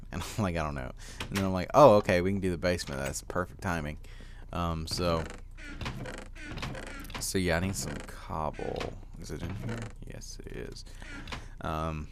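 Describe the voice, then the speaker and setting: A teenage boy with a deep voice giving casual gameplay commentary, rambling with lots of fillers and easy laughs. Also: a little hiss or hum. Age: teens. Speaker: gaming commentator.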